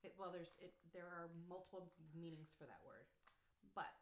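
A woman's voice: on the low side, normal speed. A woman co-hosting a casual sports podcast who steers the talk and teases her friends. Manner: wordy, steers the conversation, teasing. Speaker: podcast co-host. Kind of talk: casual chat. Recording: echoey room.

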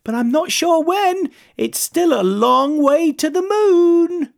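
A woman's voice: very high-pitched, expressive, slow, vocal fry, pitched above the performer's own voice, exaggerated voice. A woman voicing a small, hopeful young male moth in a children's storybook, polite and eager. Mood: grateful. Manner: polite, eager, breathless wonder.

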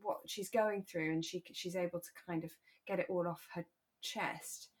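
A British woman co-hosting a podcast in a relaxed, chatty and reflective discussion of a radio drama. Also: lowish voice, normal speed. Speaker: podcast co-host.

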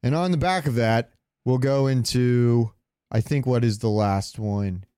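A man's voice: mid-range voice, a little slow, smooth, no room sound, studio quality. A man co-hosting a podcast, chatting casually and enthusiastically about games in slangy speech. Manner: animated fan enthusiasm, slangy. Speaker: podcast co-host. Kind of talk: casual gaming chat.

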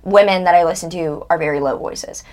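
A woman's voice: on the low side, a little fast, a little mellow. A woman in her twenties, a guest in a casual interview, talking bluntly and candidly and swearing freely. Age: 20s.

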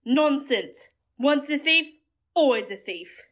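A woman's voice: high voice, expressive, takes it slowly, a bit bright, heavily acted. A woman playing a stubborn, hot-headed young woman with powers in an audio drama. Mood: indignant.

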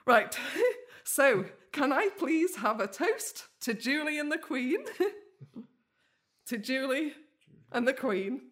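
Unhurried, caring English daughter. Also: female voice, high voice, lively intonation, fairly bright tone, exaggerated voice. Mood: emotional, tender. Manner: caring, unhurried, plain-spoken. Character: caring English grown-up daughter, tender and attentive. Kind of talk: naturalistic audio drama acting.

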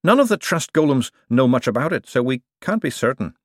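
Casting: self-assured, stubborn storybook woman played by a male reader, explanatory, lively, raised pitch. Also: fairly quick, dry recording, clean studio sound. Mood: brisk, evasive. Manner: explanatory, insistent.

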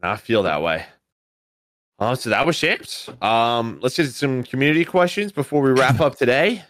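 A man's voice: mid-range voice, expressive, normal speed, a bit bright, no echo, clean studio sound. A man co-hosting a podcast in a casual, conversational style, moving between opinions and listener questions. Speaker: esports commentator and podcast co-host.

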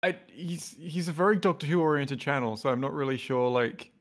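A man's voice: fairly high pitch, brisk. A man talking casually in a group conversation.

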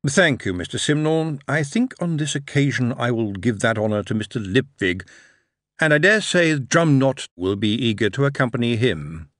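A man voicing a measured, aloof male ruler who speaks deliberately. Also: medium pitch, lively intonation, fairly bright tone, no echo, studio quality, close to an everyday voice. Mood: cool.

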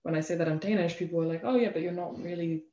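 Casting: young English-accented woman, reflective interview guest, halting conversational flow, echoey and slightly dull recording. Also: fairly low pitch, crowd noise. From England. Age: young adult. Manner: thoughtful, halting, frequent "you know" and "like". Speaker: interview guest, self-love advocate. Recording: roomy echo, slightly dull lo-fi sound.

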